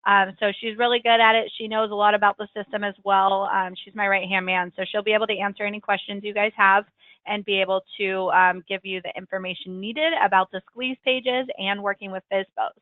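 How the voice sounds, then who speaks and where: A woman leads a weekly software tutorial in a friendly, rambling way, full of ums and quick self-corrections. Her voice is slightly raspy as she gets over a cold. She comes through a tinny, phone-like connection with no room sound at all. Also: medium pitch, steady tone, dry recording. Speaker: software trainer hosting a weekly webinar. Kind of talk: screen-share tutorial walkthrough. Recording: tinny, dry call-line audio.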